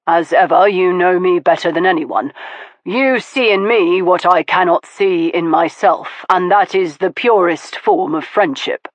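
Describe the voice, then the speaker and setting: A woman voicing an earnest, confident young aristocratic man who speaks in a measured, formal way, in an audiobook. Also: on the low side, no room sound. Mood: sincere. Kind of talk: audiobook dialogue.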